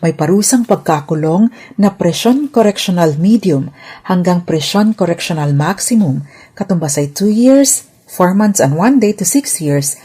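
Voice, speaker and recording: A Filipino woman hosts a scripted legal explainer. Her voice is lowish and husky, with a mellow tone. She is formal and orderly, putting emphasis on each key point. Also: lively intonation, a little slow.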